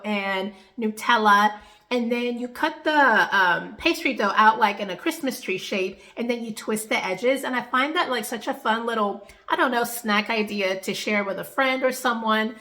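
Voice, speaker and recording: A young woman vlogging from home, her voice a little nasal and congested from a stuffy nose. She talks in a cheerful, easygoing ramble, with a bright, crisp edge to her tone. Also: on the high side. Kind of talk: casual home vlog talk to camera.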